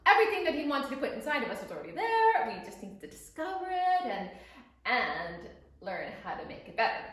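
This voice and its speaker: Female children's teacher, expressive and emphatic, lively high voice, very reverberant space. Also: fairly quick. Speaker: guest storyteller and children's teacher. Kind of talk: explanatory chat for children. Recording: very echoey room.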